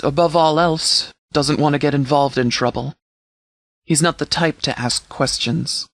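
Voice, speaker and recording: A man playing a stern sheriff in a video game, giving formal, measured briefings with authority. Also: on the high side, a bit bright, no room sound. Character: stern, formal sheriff commanding a post-apocalyptic settlement militia. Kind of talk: scripted video game dialogue, military briefing.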